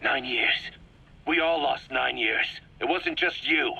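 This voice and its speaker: An actor playing a bitter, angry soldier in a video game cutscene, throwing accusations in a curt, gravelly, scornful way. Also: male voice, medium pitch, slow, bright, forceful tone, lo-fi sound, exaggerated voice. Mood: bitter anger. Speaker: interrogator.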